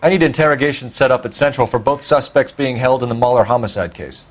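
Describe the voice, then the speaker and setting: A man's voice: medium pitch, quick pace, close to an everyday voice. A man playing an earnest, authoritative police detective in a game, clipped and formal, with an American accent. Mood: brisk, formal.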